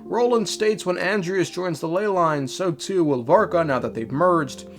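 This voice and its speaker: A man's voice: high-pitched, unhurried, faint music behind. A man narrating a scripted story recap in an irreverent, slangy, tongue-in-cheek way. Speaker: video creator recapping game lore.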